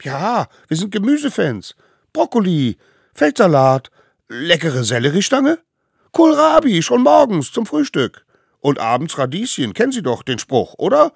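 A man voicing small excitable stone-age kids who babble excuses in high, shouting voices. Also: measured pace, vocal fry, breathy edge, no echo, studio quality, exaggerated voice. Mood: eager.

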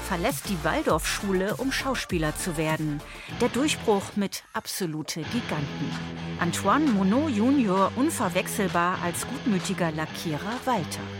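A woman narrating a scripted intro clip in a crisp announcer manner. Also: mid-range voice, animated, moderate pace. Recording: narration over music.

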